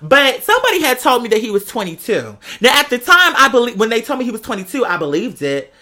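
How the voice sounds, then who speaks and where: American guy w a real bright punchy voice, sassy gossipy storytelling, lots of slang and drama, casual podcast chat. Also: very high voice, wildly swooping pitch, fast. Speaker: podcast host telling personal stories.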